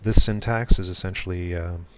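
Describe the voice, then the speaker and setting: Man, deep voice, monotone, soft smooth delivery, live coding walkthrough, faint typing in background. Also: noisy background. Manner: soft, deliberately smooth and low-key, with frequent ums and self-corrections. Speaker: programmer streaming a live coding session.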